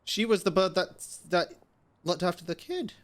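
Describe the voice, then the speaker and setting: A woman's voice: on the low side, animated, normal speed, noisy background. A woman streamer reacting casually to a game, blunt and sweary as she thinks aloud.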